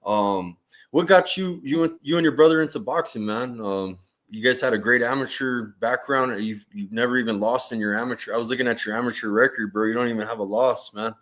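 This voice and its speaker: A man's voice: mid-range voice, a little fast, fairly bright tone. A man hosting a boxing interview with casual, slangy, informal talk. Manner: slangy, informal fan-like address. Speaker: boxing show host.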